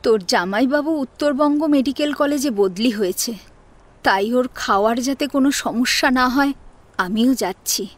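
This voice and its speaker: A woman playing a quiet, reserved, melancholy married elder sister in an audio drama. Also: high-pitched, normal speed. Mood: wistful, subdued. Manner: subdued, reserved. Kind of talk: Bengali audio drama acting.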